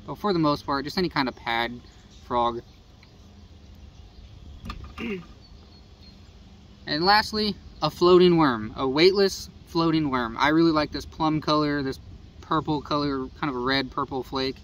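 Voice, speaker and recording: Young man, Midwestern American accent, slightly high voice, easygoing and rambling, phone held a bit far, faint outdoor noise. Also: measured pace, long pauses, a bit bright. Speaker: fishing channel host. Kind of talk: casual gear rundown to camera. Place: outdoors beside a house. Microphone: phone camera at arm's length.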